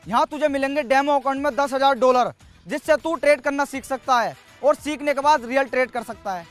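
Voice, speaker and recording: A young village lad, played by a man with a very high-pitched male voice. He explains a scripted sponsor pitch with assurance, faint music running behind. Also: fairly quick. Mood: assured. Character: confident young village lad pitching a money-making app.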